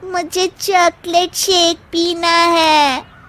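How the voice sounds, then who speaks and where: A woman's voice: very high-pitched, level tone, very slow, fairly bright tone, full character voice. A woman voicing a grown wife who acts like a whiny, demanding little girl in a cartoon story. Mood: whiny, tearful. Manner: childish, whining, demanding. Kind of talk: Hindi cartoon story acting.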